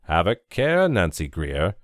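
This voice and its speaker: A man's voice: low-pitched, huge pitch swings, unhurried, dry recording, clean studio sound, heavily acted. A man doing a pompous, stern old churchman. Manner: pompous, preachy.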